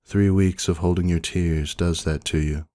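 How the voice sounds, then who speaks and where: A man voicing a stoic older sister pony, narrating a story in a terse, deadpan way. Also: low voice, normal speed, dry recording, clean studio sound, close to an everyday voice. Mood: stoic, somber. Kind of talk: dramatic first-person story reading.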